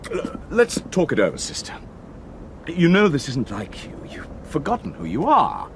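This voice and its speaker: A man's voice: on the high side, huge pitch swings, moderate pace, a little hiss or hum. An actor playing a stern, exasperated man scolding a nun in a radio drama.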